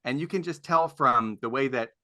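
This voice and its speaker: A man in casual conversation as a panelist, speaking haltingly as he circles toward his point. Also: mid-range voice, bright, forceful tone. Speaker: podcast panelist. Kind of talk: casual chat.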